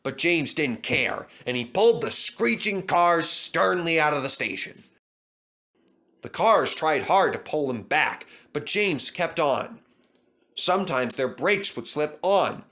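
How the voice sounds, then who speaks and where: Lively male storybook narrator. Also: a little high, unhurried. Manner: expressive, lively. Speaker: storybook reader.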